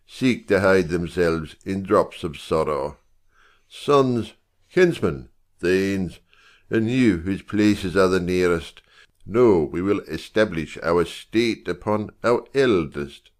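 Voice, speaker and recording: A man playing a gracious, grateful king in a dramatic Shakespearean reading, speaking in a stately, declamatory way. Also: sits low. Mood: joyfully moved. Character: gracious, grateful old Scottish king bestowing honours.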